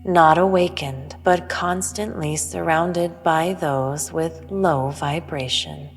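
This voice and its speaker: An otherworldly female intelligence, played by an actress, pronounces cosmic truths with calm superiority. Her voice is low and slow, formal and measured, in long reverb over music. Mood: solemn, knowing. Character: otherworldly all-knowing female entity, cryptic, calmly superior. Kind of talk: sci-fi audio drama.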